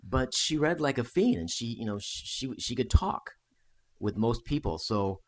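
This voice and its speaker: A man's voice: mid-range voice, big pitch swings, moderate pace. An American man co-hosting a podcast, chatting casually about history and rambling with fillers and restarts. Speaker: podcast co-host.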